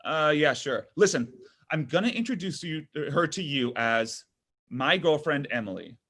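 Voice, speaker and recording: A man's voice: fairly high pitch, expressive, unhurried, a bit bright, heavily acted. An actor reading a needy, insecure boyfriend in his thirties in a conversational, hesitant table-read style. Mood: eager, confiding. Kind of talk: comedy pilot table read.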